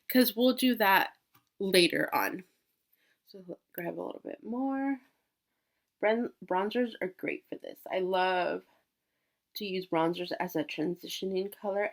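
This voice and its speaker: A woman's voice: mid-range voice, fairly bright tone. Chatty woman with a cold. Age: 20s. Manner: chatty, lots of fillers and restarts. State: sick with a cold. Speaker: beauty vlogger. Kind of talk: casual makeup tutorial.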